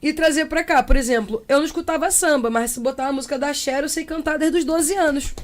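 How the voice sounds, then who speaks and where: Casting: young Brazilian woman, slightly high voice, casual reflective interview talk, slangy and expressive. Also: fast talker. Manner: expressive, acts out imagined quotes, slangy. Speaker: interview guest, percussionist and samba singer.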